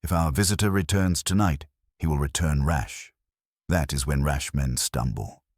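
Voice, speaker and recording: A man's voice: rumbling low, moderate pace, dry recording, clean studio sound. A man narrating an audiobook as a pompous, commanding older detective with formal, pronouncing speech. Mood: calculating, commanding.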